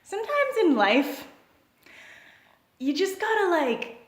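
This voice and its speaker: A woman voicing an unbothered young man who is partying with loud music, speaking in a dreamy, drawn-out way. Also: extremely high pitch, very animated, echoey room, pitched above the performer's own voice, exaggerated voice. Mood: mellow.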